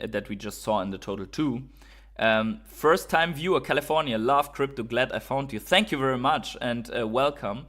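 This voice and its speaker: A man's voice: medium pitch, a bit bright. Male streamer, native German speaker with German accent, mid-range voice, enthusiastic and informal live commentary. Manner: enthusiastic, informal, reading out and answering viewer messages. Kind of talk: casual live-stream chat and market commentary.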